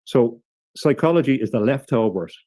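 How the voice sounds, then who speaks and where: A man giving thoughtful, halting explanations as an interview guest, often restarting his sentences. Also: mid-range voice, animated, dark tone, no echo, studio quality.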